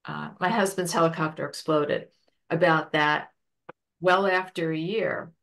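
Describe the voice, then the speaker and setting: Woman presenting a grief webinar on a video call; lowish voice, hesitant delivery. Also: fairly even intonation, unhurried, vocal fry, fairly bright tone. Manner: hesitant, searching for words. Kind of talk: online lecture and Q&A.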